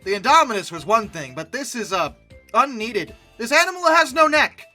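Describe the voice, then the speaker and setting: A woman's voice: a little high, moderate pace, soft background music. A young woman hosting a comedic commentary, sarcastic and exasperated. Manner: sarcastic, exasperated, lively outbursts. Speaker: YouTube host.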